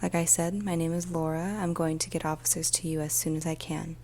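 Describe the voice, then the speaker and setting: Woman playing a troubled 999 dispatcher, soft whispery asmr storytelling, lowish and creaky, bit of background hum. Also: level tone. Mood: calm, reassuring. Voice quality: soft, breathy, often whispered. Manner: slow, hushed, deliberate, with pauses. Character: weary, troubled female police emergency call dispatcher. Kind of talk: ASMR storytelling, first-person dramatic monologue.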